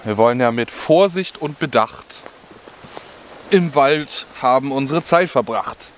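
A young man with a mid-range voice talks in an easygoing, colloquial way, as if thinking out loud for his viewers. He is recorded outdoors by a camera microphone, with plainly audible ambient noise behind him. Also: medium pitch, expressive, a little slow. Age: young adult. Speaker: outdoor cooking vlogger. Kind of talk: casual chat to viewers while cooking. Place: outdoors in a forest. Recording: clearly noisy outdoor background.

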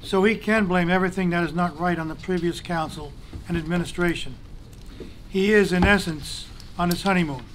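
High-voiced man reading a pointed statement. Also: fairly bright tone, a little hiss or hum. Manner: measured, pointed criticism, formal. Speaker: council president. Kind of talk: reading a prepared statement at a council meeting. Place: council chamber, large room.

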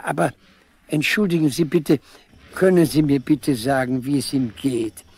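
Frail old father for radio drama: gravelly, halting, wistful, easily confused. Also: mid-range voice, a little mellow. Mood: bewildered, wistful. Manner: halting, repeating himself. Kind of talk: 1970s East German radio play acting.

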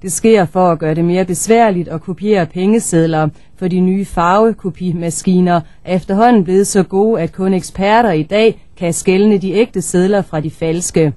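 A woman's voice: lowish voice, few pauses, warm tone, dry recording. A woman reading scripted radio news as newsreader, on a studio microphone.

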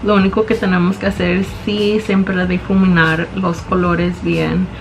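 A woman's voice: lowish voice, little pitch movement, a little slow, slight background noise, poor audio. A young woman filming a makeup tutorial in her bedroom, talking into a camera in front of her and explaining each step in chatty Spanish with English words slipping in. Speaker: beauty vlogger. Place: small bedroom indoors. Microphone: camera in front at close range.

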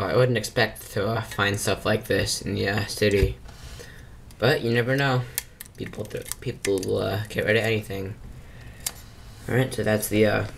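A man giving a casual, unscripted hobbyist show-and-tell, with halting delivery and frequent pauses. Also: on the low side, vocal fry. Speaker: hobbyist vlogger showing vintage speakers. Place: small indoor room at a workbench. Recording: noisy camera mic, audible background noise.

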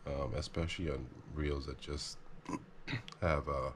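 A man repairing a fishing reel at his workbench, talking casually and thinking out loud as he works. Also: extremely low pitch, measured pace, slight background noise. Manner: relaxed, thinking aloud, self-deprecating. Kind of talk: casual how-to narration while working. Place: workbench, indoors.

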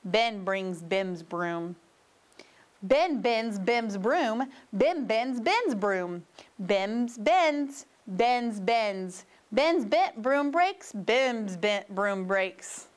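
A woman reads as a playful storybook fox. The voice is high and swings widely in pitch, coaxing and insistent as it delivers tongue-twisting patter. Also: lively intonation, drawn-out words. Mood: eager.

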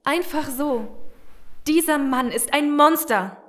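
Audio drama: desperate young female turncoat, high voice, earnest and urgent. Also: slow, fairly bright tone. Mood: pleading, bitter. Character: Sophie, desperate young woman betrayer seeking escape. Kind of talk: German audio drama acting.

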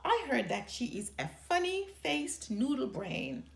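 Lady doing a sensitive lil sister bear from a kids book, high n emphatic, echoey room. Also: sing-song, measured pace, gravelly, exaggerated voice. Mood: angry, loud. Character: young sister bear cub, sensitive and easily hurt. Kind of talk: children's storybook read-aloud. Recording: roomy indoor echo.

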